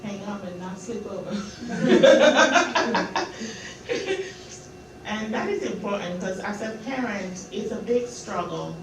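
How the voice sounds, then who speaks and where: A woman's voice: medium pitch, expressive, takes it slowly. A woman from Nigeria, a parent, speaking frankly and emphatically in a group discussion. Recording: very echoey room, far from mic, noisy.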